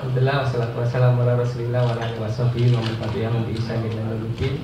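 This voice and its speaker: A man's voice: mid-range voice, completely flat delivery, racing. Nasal Indonesian man speaking in echoey room. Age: 40s. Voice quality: nasal, stuffy voice. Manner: jokey, self-deprecating asides, addresses listeners as friends. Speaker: guest motivational speaker. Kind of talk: informal public talk. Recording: echoey room, far mic, clear background noise.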